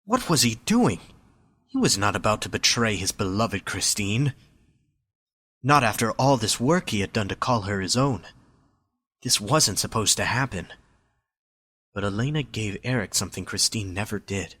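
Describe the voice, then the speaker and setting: Guy with a lowish voice narrating a story for a homemade audio drama. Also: animated, normal speed, pauses often, fairly bright tone, slightly rough.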